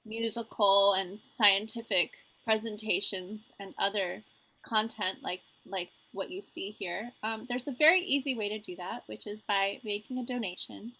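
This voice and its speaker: A woman's voice: mid-range voice, moderate pace, bright, forceful tone, poor audio. A woman co-hosting a home livestream, speaking in a theatrical, tongue-in-cheek way that slips between casual banter and mock-formal announcing. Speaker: livestream co-host and musician.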